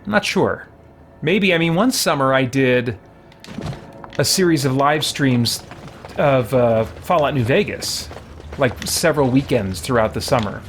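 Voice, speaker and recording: A man's voice: medium pitch, lively intonation, a little slow, slight background noise. A man giving casual live commentary as he plays a video game, thinking aloud in a wry way. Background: video game music.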